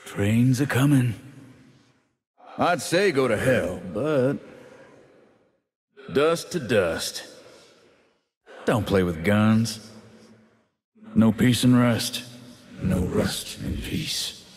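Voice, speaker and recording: An actor playing a grim, vengeful demon-possessed cowboy gunslinger in a video game, brooding and cool with a drawl and a demonic growl. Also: male voice, lively intonation, extremely slow, pauses often, breathy edge, full character voice. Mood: cold menace. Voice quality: low drawl with demonic growl layered on words.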